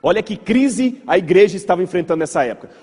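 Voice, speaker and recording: A man's voice: sits high, animated, fast, mellow, breathy edge. A man with a Brazilian Portuguese accent, a pastor giving an informal storytelling lecture to a congregation, conversational, repeating words for emphasis and checking in with listeners. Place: large hall with audience. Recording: reverberant hall, slightly muffled voice.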